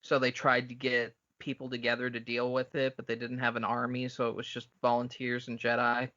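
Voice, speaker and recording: A man with a bright, punchy voice chats casually as a podcast co-host. He is blunt and opinionated, swearing freely. The audio is somewhat dull and lo-fi. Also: medium pitch, completely flat delivery, a little fast. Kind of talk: casual, profane fan discussion.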